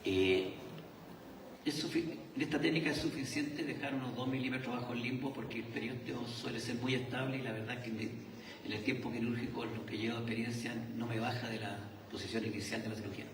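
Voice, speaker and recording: Chilean surgeon in an echoey hall. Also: male voice, medium pitch, steady tone, a little fast, recorded from a distance, background noise. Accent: Chilean Spanish. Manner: hesitant, many filler sounds and restarts. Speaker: surgeon presenting at a conference. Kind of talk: conference talk. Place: large lecture hall.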